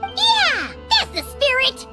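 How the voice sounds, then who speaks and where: Game role: bubbly, loyal little girl, squeaky and exuberant, loud playful music behind. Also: wildly swooping pitch, takes it slowly, heavily acted. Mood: cheering. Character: tiny bubbly, loyal childlike girl companion. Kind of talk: video game voice acting. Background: prominent children's music.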